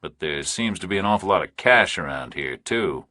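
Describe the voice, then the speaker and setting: A shrewd, unimpressed ex-military investigator in a thriller audiobook , played by a man. His questions are blunt and dry, in a deep, husky voice with a close, dry sound. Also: animated, normal speed, sharp tone, no room sound, exaggerated voice. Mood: probing, dry.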